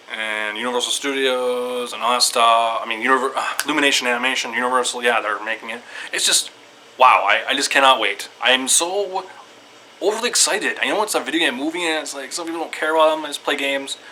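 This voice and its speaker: Man, medium pitch, sharp bright tone, loose repetitive commentary, excitable fan delivery, faint background noise. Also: rough recording. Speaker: video creator giving opinions. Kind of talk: casual rambling commentary to viewers.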